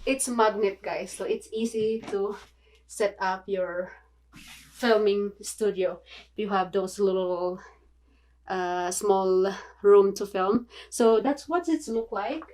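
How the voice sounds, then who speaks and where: Slow-talking Filipino woman vlogger. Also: mid-range voice, darkish tone, poor audio. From Philippines. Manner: halting, many fillers and restarts, apologetic. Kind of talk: casual tutorial vlog. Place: small home room.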